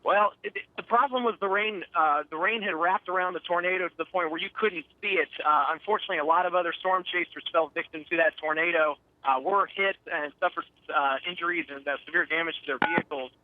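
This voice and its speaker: A man's voice: on the high side, quick pace, hardly any pauses, dry recording. A man giving a live eyewitness report as a storm chaser over the phone, in a hesitant, matter-of-fact way. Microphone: phone call. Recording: muffled narrow telephone line.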